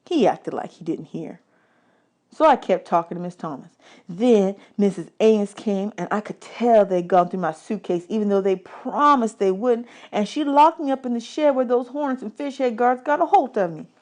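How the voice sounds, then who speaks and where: Female audiobook reader for children's fiction, mid-range pitch, scripted storytelling delivery. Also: moderate pace, darkish tone.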